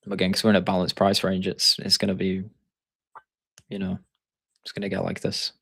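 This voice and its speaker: A man's voice: sits low, completely flat delivery, a little fast, gravelly, a bit bright, breathy edge, no echo. A man with a Yorkshire accent, hosting a live trading stream and thinking aloud as he explains charts. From Yorkshire, England. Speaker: trading coach and stream host.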